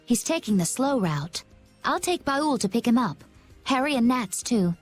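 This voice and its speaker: A woman's voice: on the high side, sing-song, moderate pace, faint music behind. A woman playing a composed, wry, decisive young woman in an English anime game dub.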